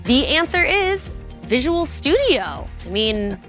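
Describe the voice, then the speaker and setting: A woman's voice: high voice, sing-song, slow, fairly bright tone, soft background music. A woman co-hosting a live tech event stream, bubbly and playful in her banter. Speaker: event co-host.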